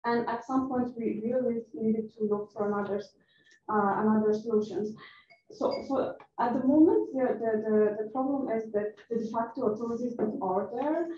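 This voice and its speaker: A woman's voice: a little high, moderate pace, mellow. A woman from Afghanistan with an Afghan accent, making an unscripted comment and question from a panel audience. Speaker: researcher asking from the audience. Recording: echoey room, distant mic, dull, faint noise.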